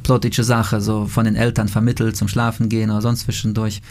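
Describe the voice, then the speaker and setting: A man, a native speaker of Plautdietsch, explaining calmly in a radio interview, with frequent fillers. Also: lowish voice, fairly quick. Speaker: interviewee, language association member.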